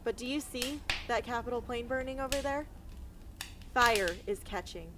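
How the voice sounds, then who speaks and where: High-pitched actress as determined young female rebel, earnest and emphatic, radio play, faint noise behind. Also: moderate pace, natural voice. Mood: defiant, impassioned. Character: defiant young rebel heroine, wounded but determined. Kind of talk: amateur radio drama acting.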